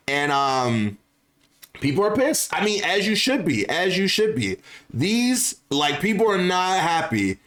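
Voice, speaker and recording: A man's voice: a little high, fairly bright tone. A man giving casual, opinionated video commentary on gaming news, often repeating phrases for emphasis. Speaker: video creator and host.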